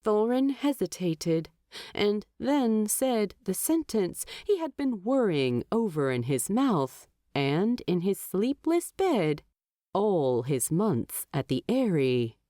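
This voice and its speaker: A woman narrating a fantasy audiobook in a measured, wry storytelling manner. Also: medium pitch, sing-song, mellow, no echo, studio quality. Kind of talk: expressive audiobook narration of fan fiction.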